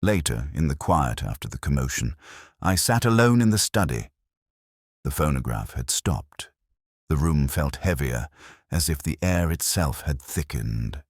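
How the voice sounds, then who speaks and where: Very deep, solemn detective narrator played by a man. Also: expressive, husky, dry recording, studio quality, full character voice. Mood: somber, reflective. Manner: measured, solemn, literary. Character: male police inspector narrating a case, melancholy and reflective. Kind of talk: scripted first-person detective audio drama narration.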